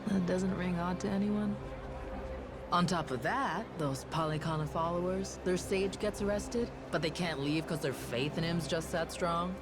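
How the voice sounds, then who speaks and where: A woman's voice: fairly low pitch, steady tone, normal speed, background noise, natural voice. An actress voicing a tough, self-assured young woman bodyguard in a video game cutscene, casual and slangy. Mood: persuasive, sly.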